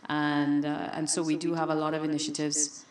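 A woman's voice: sits low, completely flat delivery, moderate pace. A woman from Trinidad and Tobago who heads a nonprofit, introducing herself steadily on a panel. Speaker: nonprofit head. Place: conference room. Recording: distant mic in conference room.

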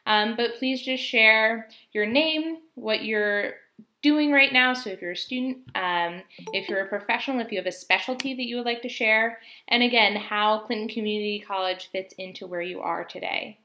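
A woman's voice: a little high, normal speed, pushed and bright. A young woman moderating a video call panel, friendly and organizing, with frequent fillers. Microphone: webcam mic on video call.

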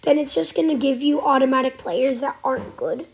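A woman with a cold giving casual, excitable commentary while playing a game, sometimes dropping to a whisper. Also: high-pitched, fairly quick. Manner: chatty, excitable, trailing off into whispers. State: has a cold. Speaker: gaming channel host. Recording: faint background noise.

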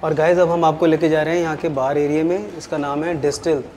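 A man's voice: fairly high pitch, fairly even intonation, fast, a little mellow, a little hiss or hum. Upbeat man co-hosting a food vlog. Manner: upbeat, mixes English phrases. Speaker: travel vlogger co-host. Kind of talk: casual vlog commentary. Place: hotel restaurant and balcony.

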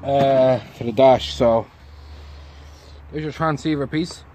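A man's voice: mid-range voice, slow, darkish tone. A man in his thirties casually explaining a hands-on job to a camera held nearby outdoors. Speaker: friend helping with a DIY job. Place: outdoors on a driveway by a van. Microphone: camera held by another person nearby. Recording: outdoor handheld recording with faint noise.

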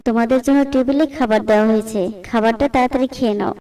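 A woman's voice: fairly high pitch, steady tone, very fast, dark tone, recorded from a distance, natural voice, poor audio. A woman voicing an insistent, fussing middle-aged mother in a moral cartoon. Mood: pleased.

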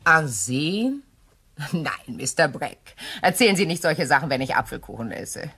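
A woman's voice: low-pitched, expressive, measured pace, pushed and bright, breathy edge, heavily acted. An actress playing a composed, touchy widowed lady of the house in a crime radio play, genteel and evasive. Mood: politely dismissive.

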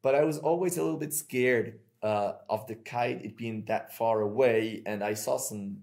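A Swiss man in his thirties hosts a casual podcast from a small room at home. He tells his stories in an animated, chatty way. The sound comes through earbuds on a video call. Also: mid-range voice, moderate pace. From Switzerland. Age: 30s. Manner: chatty, animated storytelling with hand gestures. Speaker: podcast host.

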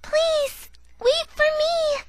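A woman in an amateur anime fan dub, voicing a timid, gentle demon girl, meekly polite and soft-spoken. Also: extremely high pitch, fairly even intonation, drawn-out words, very noisy, full character voice. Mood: meek, sorrowful.

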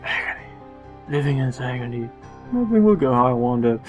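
A distraught young man from a video game, put on by a player, melodramatic and whining. The pitch swings widely, and faint music sits behind the voice. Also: lively intonation, fairly quick, darkish tone, lightly acted. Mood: miserable.

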